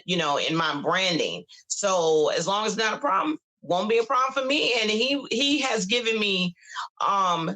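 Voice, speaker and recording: Bright-voiced woman over fifty, halting. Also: on the low side, poor audio. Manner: halting, repeats words. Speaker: podcast guest, cigar brand ambassador. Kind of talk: casual chat.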